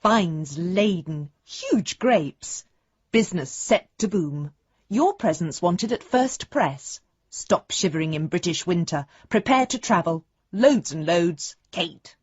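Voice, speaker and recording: An actress playing a breezy, affectionate grown daughter, reading a telegram in short clipped phrases for a radio drama. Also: female voice, lowish voice, animated, slow pace, slightly rough, dry recording, highs cut off, full character voice. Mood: cheerful, eager.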